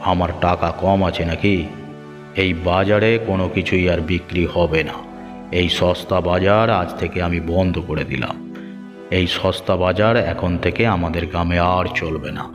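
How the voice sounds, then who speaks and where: Man acting as haughty cartoon landlord type, deep voice, pompous, commanding, clear music behind. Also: fairly even intonation, normal speed, close to an everyday voice. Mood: imperious. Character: haughty, domineering rich village boss, easily swayed. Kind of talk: Bengali moral-story cartoon dubbing.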